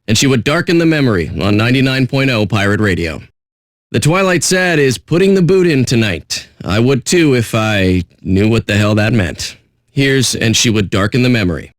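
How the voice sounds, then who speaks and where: A man's voice: on the low side, lively intonation, moderate pace, no room sound, clean studio sound, lightly acted. Man playing a jaded, rude pirate radio DJ. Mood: dismissive. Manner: sardonic, blunt, insulting, profane. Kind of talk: irreverent radio DJ patter.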